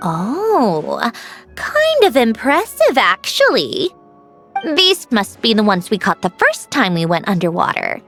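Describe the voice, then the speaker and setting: A woman playing an aloof, proud young woman in an anime-style video game, with a brisk, cool, skeptical manner. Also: a little high, wildly swooping pitch, fairly bright tone, soft background music, full character voice. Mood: grudgingly impressed. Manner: clipped, cool, dismissive.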